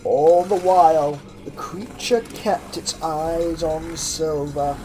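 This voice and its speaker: A woman narrates a horror story in a theatrical, suspenseful way, with drawn-out phrases and hushed drops. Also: deep voice, poor audio. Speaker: fan fiction narrator. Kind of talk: dramatic horror story reading. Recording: clear background noise with ambience.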